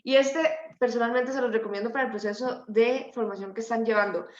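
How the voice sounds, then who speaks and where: A woman's voice: on the high side, steady tone, brisk, slight background noise. A woman with a Costa Rican accent giving an informal explanatory presentation over an online call. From Costa Rica. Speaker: presenter, climate activist. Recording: dull, echoey online call audio.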